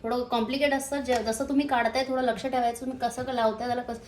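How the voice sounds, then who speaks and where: A woman's voice: fairly high pitch, flat delivery, fast talker, a little mellow, a little hiss or hum. A woman casually explains a product as a vlogger, hesitating as she goes and mixing in English words. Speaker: motovlogger presenting a product. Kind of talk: casual unboxing and installation demonstration.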